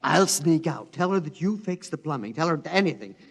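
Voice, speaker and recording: An actor playing an agitated, indignant wronged husband, urgent and stammering, in 1950s radio drama style. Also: male voice, a little high, lively intonation, a little fast, creaky.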